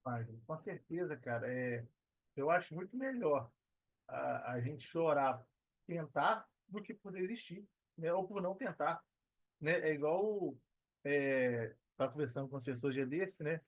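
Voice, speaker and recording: A man's voice: fairly high pitch, expressive, measured pace, no room sound, muffled recording. A Brazilian man chatting motivationally on a podcast, emphatic and slangy, repeating himself to press his point. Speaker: podcast participant. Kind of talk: casual motivational podcast chat.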